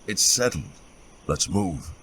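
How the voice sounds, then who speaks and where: An actor playing a stern, resolute warrior, terse and commanding, in a fantasy audio drama. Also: male voice, low voice, sing-song, takes it slowly, sharp tone, slight background noise, heavily acted, poor audio. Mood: brisk. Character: stern, resolute fighting man, commanding companion.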